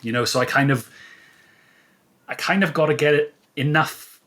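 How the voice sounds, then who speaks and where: A man speaking as a guest author in a casual interview, explaining things in a thoughtful, halting way. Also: fairly high pitch, a bit bright.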